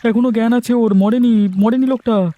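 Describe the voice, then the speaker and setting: A man's voice: very high voice, steady tone, normal speed, warm tone, highs cut off. A man voicing a helpful, exclaiming cartoon fisherman. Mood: excited concern. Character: animated, helpful river fisherman. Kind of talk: cartoon dubbing.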